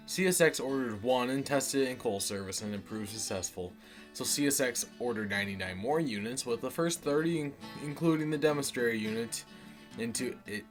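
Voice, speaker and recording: A man with an American accent speaks at a middling pitch as a folksy online host. He moves between reading out a story and casual chat with his viewers, with guitar music playing behind him. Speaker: video series host.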